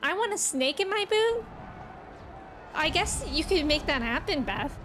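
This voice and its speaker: A woman live-streaming a game, chatting casually and reading aloud in a playful, expressive way. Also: extremely high pitch, unhurried. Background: game music.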